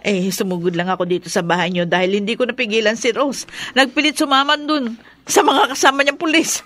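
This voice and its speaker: High-voiced worried village woman. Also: a little fast, a bit bright. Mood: anxious, flustered. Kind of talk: radio drama acting.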